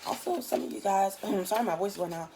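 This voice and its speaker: A tired woman with a raspy voice, giving a casual, chatty tarot reading in loose, swearing everyday talk. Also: mid-range voice, brisk, noisy background. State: just woke up, tired. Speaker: tarot reader.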